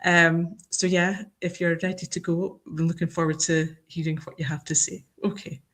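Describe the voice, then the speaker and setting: A woman's voice: fairly low pitch, level tone, moderate pace, a bit bright. A woman opening an online teaching session as host, introducing the speaker in a friendly, slightly scripted way over a video call. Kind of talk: welcoming webinar introduction.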